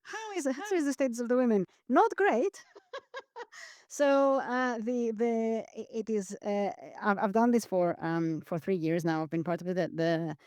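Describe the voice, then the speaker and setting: A woman from Greece speaks as a guest on a podcast, earnest and reflective, often repeating words as she restarts a thought. Her voice is smooth and resonant, and the recording is close and dry, with no room sound. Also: fairly high pitch, measured pace, darkish tone, dry recording. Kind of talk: reflective interview talk.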